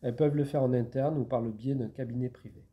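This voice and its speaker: A man's voice: medium pitch, steady tone, fast talker, muffled recording. A man giving structured, step-by-step explanations as a public service agent in an interview.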